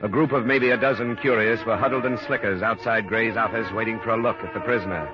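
An actor playing a cool, skeptical private investigator with an American accent and a clipped, probing manner, in a radio drama. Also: male voice, lowish voice, steady tone, fast talker, music in the background, lightly acted. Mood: narrating. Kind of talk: 1950s radio drama acting and narration. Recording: muffled old radio recording.

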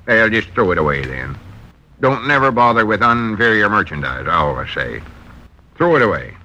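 An actor playing a self-important country storekeeper who puts on airs, drawling with a rural Southern American accent in a 1940s radio comedy. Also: male voice, lowish voice, big pitch swings, moderate pace, sharp tone, highs cut off, exaggerated voice. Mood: huffy. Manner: pompous, drawling, putting on airs. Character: pompous, self-important country storekeeper turned would-be tycoon. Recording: old 1940s radio broadcast.

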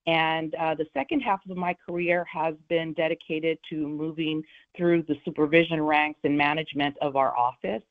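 A woman's voice: low voice, steady tone. Woman prosecutor from LA county on the phone to a radio show, firm, lots of uhs, tinny phone sound. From Los Angeles County. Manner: firm, measured, with frequent "uh" fillers. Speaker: prosecutor and political candidate. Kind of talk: radio interview guest. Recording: phone line.

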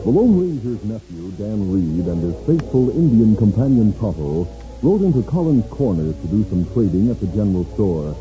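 Male vintage radio narrator, grand and dramatic, big pitch swings, thin tinny recording with music. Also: big pitch swings, moderate pace. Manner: grand, theatrical, stretched key words. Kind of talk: 1950s radio western narration, dramatic announcing.

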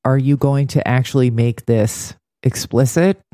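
A man's voice: medium pitch, unhurried, mellow, no room sound, studio quality. A man co-hosting a casual recap discussion in a wry, critical way. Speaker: podcast co-host.

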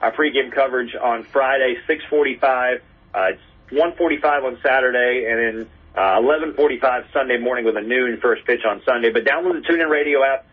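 A man's voice: mid-range voice, a little fast, slightly rough. An American man, a sports announcer, chatting casually as an interview guest over a remote call. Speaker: baseball radio play-by-play announcer, podcast guest. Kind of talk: casual anecdotal interview chat. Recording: narrow, telephone-like call sound.

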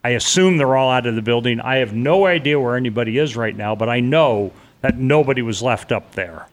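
A man's voice: medium pitch, a little fast. A middle-aged man telling a personal story in an interview, blunt and vivid, with casual swearing. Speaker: interview guest.

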